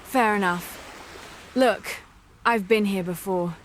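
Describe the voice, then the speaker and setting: A woman's voice: medium pitch, takes it slowly, natural voice. A woman voicing a determined English adventurer searching for her late father's artifact, speaking in a guarded, matter-of-fact way with an English accent. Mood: wry. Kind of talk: amateur animated voice acting.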